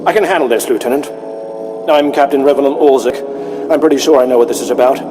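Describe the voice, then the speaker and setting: A man's voice: medium pitch, quick pace, over music, natural voice. Man playing a proud, defiant starship captain. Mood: resigned defiance. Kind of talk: video game voice acting.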